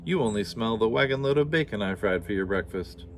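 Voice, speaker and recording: A man voicing a huge, harried giantess who roars loudly. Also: fairly low pitch, expressive, brisk, a little hiss or hum. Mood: scolding. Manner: loud, pleading and bossy.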